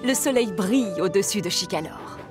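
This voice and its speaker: A woman's voice: medium pitch, expressive, normal speed, over music. Woman narrating a children's cartoon. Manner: gentle, storytelling. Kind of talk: scripted storybook narration.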